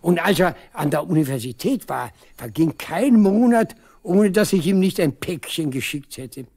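A man's voice: a little high, lively intonation, moderate pace, gravelly, darkish tone, husky, full character voice. An actor playing a proud, talkative old village father in a 1970s East German radio drama, wistful and emphatic. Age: older.

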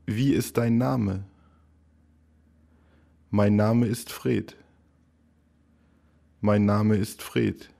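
A man speaks with deliberate, unhurried care, each phrase spaced out for learners. His voice sits low, dark and mellow, with a gravelly edge. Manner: slow, careful, each phrase said three times. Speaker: language teacher. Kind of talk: slow repeated phrase drill.

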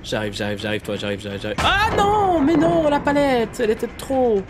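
A young man streaming a game live, chatting to his viewers, a little nasal from a cold, repeating himself when excited. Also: very high voice, fairly quick, over music. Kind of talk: live gameplay commentary.

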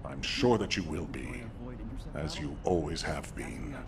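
An actor playing a grave, remorseful but resolute military commander in a video game, speaking solemnly and formally, with deliberate delivery. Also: male voice, rumbling low, animated, gravelly, crowd noise, full character voice. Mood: resolute, commanding. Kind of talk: scripted video game drama acting.

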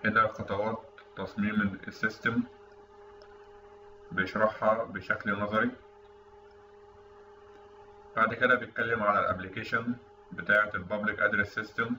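A man's voice: fairly low pitch, fairly even intonation, moderate pace, pauses often, sharp tone. A man with an Egyptian Arabic accent explains technical material in an informal, conversational tutorial voice-over. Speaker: instructor. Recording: roomy, distant mic with faint noise, dull sound.

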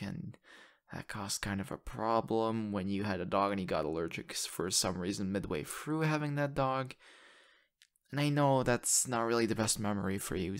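A man playing an excitable, sappy young boyfriend in an audio roleplay, talking in a gushing, hesitant, stammering way into a close mic. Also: lowish voice. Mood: earnest, nervous. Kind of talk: ASMR audio roleplay, conversational acting. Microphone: close condenser microphone.

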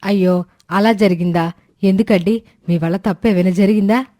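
A woman's voice: mid-range voice, steady tone, normal speed, warm tone, dry recording, clean studio sound, natural voice. A woman playing a devoted, plain-spoken village wife in a moral story, with homely colloquial speech. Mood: concerned. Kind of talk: animated moral-story dialogue.

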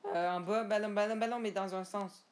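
A man's voice: high-pitched, steady tone, fairly quick. A man hosting a casual gameplay chat, stammering and repeating filler words in long runs. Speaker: gaming channel host. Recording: background noise.

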